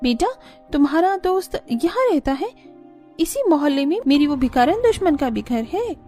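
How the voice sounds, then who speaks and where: A woman's voice: sits high, sing-song, moderate pace, dark tone, faint music behind. A woman voicing a jealous, scheming female shop owner in a cartoon, haughty and spiteful. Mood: disdainful.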